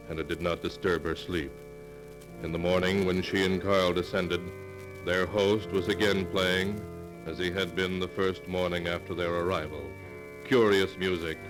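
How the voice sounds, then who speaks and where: A man narrating a 1940s radio drama in a measured, grave manner. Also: low-pitched.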